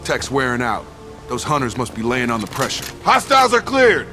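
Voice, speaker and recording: An actor playing a troubled, loyal soldier in a video game, with a firm, earnest delivery. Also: male voice, mid-range voice, sing-song, brisk, pushed and bright, soft background music. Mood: battle-fired. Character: troubled, loyal soldier son seeking answers.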